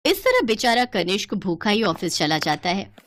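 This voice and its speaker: A woman's voice: medium pitch, big pitch swings, normal speed, fairly bright tone, no room sound, clean studio sound. A woman storyteller giving dramatic scripted narration for a cartoon tale.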